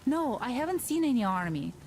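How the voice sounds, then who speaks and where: A woman's voice: fairly high pitch, sing-song, fairly quick, darkish tone. An actress playing an uncertain civilian mother, polite and hesitant, in a video game. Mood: unsure. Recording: clearly noisy background.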